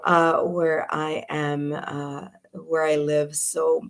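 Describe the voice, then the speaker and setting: A woman with a slightly low, hoarse and raspy voice hosts a live tarot stream. She chats in a welcoming way, talking straight to her viewers and filling pauses with frequent ums and uhs. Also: little pitch movement. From New York. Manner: chatty, welcoming, with frequent fillers. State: hoarse, raspy from early morning and much talking.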